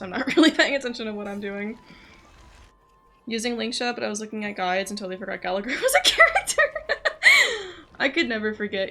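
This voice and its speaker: Bright, creaky-voiced young woman streaming games. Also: medium pitch. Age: young adult. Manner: rambling, chatty, giggly. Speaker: game streamer. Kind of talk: casual livestream chat while gaming. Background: game music and voices.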